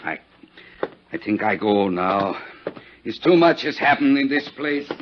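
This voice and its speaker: Low-voiced, formal businessman. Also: animated. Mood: uneasy. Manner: halting, broken English, deferential. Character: formal, uneasy foreign diamond firm representative. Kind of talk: 1950s radio drama acting. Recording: dull, hissy 1950s radio recording.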